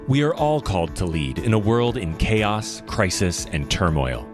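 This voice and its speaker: A man's voice: lowish voice, very animated, measured pace. A man announcing a show's scripted promotional intro in a dramatic, declamatory delivery. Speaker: show announcer. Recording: voice over loud music. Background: music.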